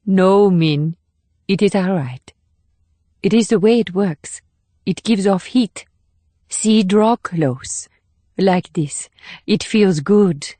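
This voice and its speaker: A woman playing a calm, reassuring guardian of a child, speaking gently in short plain sentences. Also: medium pitch, takes it slowly, pauses often, dry recording, natural voice. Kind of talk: audiobook character dialogue.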